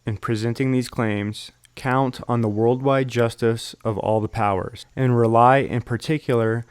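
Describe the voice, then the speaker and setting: A man hosting a solo history podcast, reading out documents and explaining events in a measured, steady way. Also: mid-range voice, clear, resonant tone, dry recording. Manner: measured, steady delivery with occasional fillers.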